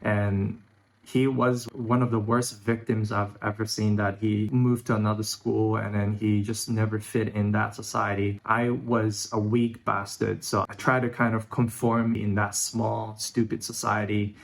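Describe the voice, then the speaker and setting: Guy in his 30s from hong kong and singapore, lowish voice, pretty monotone, talking candidly about himself on a vlog. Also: a little slow, creaky. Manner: informal, candid, occasional swearing. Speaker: YouTube vlogger. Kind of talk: personal reflective monologue to camera.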